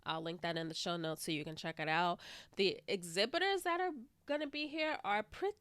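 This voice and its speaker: A woman's voice: lowish voice, a little fast, fairly bright tone, smooth, no room sound. A woman hosting her own podcast, talking in a chatty, rambling way with a slightly stuffy, congested voice. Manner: chatty, rambling, lots of "like" and "you know". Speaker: podcast host. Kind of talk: casual solo podcast monologue.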